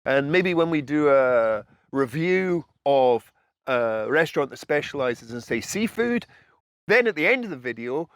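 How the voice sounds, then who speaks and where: A man's voice: medium pitch, lively intonation, unhurried, fairly bright tone, clear, resonant tone, no room sound, studio quality. A middle-aged man with a Scottish accent, giving a casual, explanatory talk to camera as a channel host. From Scotland. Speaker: host of a food and travel channel. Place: outdoors on a house balcony.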